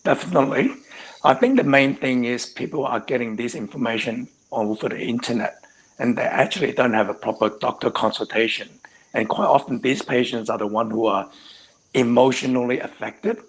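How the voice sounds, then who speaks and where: A man of middle pitch speaking as a surgeon on an online panel. He explains in an earnest, steady way, leaning on the word "actually" often. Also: a little fast, rough recording. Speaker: hair transplant surgeon, panelist. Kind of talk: live stream panel discussion.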